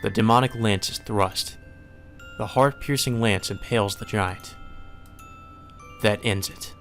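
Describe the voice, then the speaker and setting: A man reading a visual novel aloud as dramatic, solemn and measured narration. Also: on the low side, a bit bright. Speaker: narrator, let's play reader. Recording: music behind the voice.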